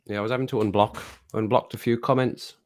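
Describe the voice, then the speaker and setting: A man with a slightly low, faintly croaky voice rambles through a relaxed livestream from home. He chats loosely with viewers and laughs easily between remarks. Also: fast talker. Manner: rambling, chatty, laughs often. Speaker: livestream host reading chat. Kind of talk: casual chat to a live audience. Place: at home with his pets. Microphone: home streaming mic with compressor.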